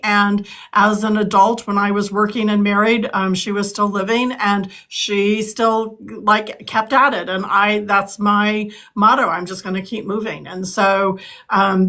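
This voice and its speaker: A woman's voice: mid-range voice, a bit bright. A woman in her sixties from California, answering interview questions earnestly, with frequent ums and restarts. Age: 60s. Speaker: political candidate.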